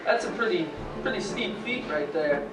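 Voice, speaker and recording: A young man playing a polite, admiring radio interviewer who asks questions haltingly in a sketch. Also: high voice, animated, heavy background noise. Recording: roomy distant mic under music. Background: instrumental music.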